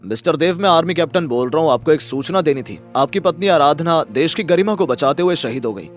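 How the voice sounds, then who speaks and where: A man plays a formal army captain in an audio melodrama. He speaks gravely in a slightly high male voice, over music. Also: animated, a little fast, natural voice. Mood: grave, official. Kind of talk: audio story melodrama acting.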